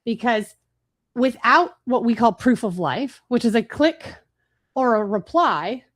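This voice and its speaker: A woman hosting a marketing webinar, talking fast and casually with fillers and restarts. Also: a little high, animated. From Chicago, USA. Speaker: webinar host.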